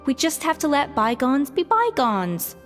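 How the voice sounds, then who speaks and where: An actress playing a proud, composed female former head chef in a video game, formal and measured. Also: sits high, very animated, in a large hall, faint music behind. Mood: composed, resolute.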